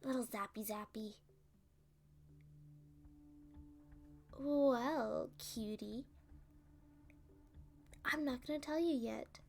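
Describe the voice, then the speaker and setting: A woman performs a playful female supervillain in an ASMR roleplay. Her voice sits fairly high and she takes her words very slowly, stretching them out. She is smug and condescending, teasing the listener with plenty of sass. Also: vocal fry. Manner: teasing, condescending, drawn-out delivery.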